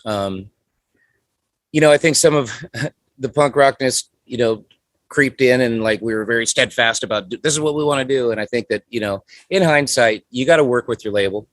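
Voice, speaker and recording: A man's voice: mid-range voice, normal speed, no echo. A man in his forties, a guest musician chatting casually in an interview, rambling with lots of filler phrases. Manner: rambling, many "you know" fillers.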